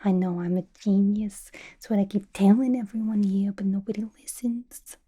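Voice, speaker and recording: A woman voicing a playful, unhinged young villain inmate in a chummy, slangy ASMR roleplay, mostly in a breathy whisper. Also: mid-range voice, fairly even intonation, normal speed, warm tone, lightly acted. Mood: smug, chummy.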